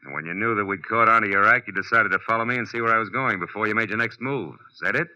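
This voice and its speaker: An actor playing a tough, wisecracking American private detective in 1940s radio style, clipped and wry. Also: male voice, fairly low pitch, rapid-fire, sharp tone. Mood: needling, cool. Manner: clipped, wry, hard-boiled. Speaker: lead actor and narrator. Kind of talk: 1940s radio detective acting, hard-boiled first-person narration and dialogue. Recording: muffled vintage radio recording.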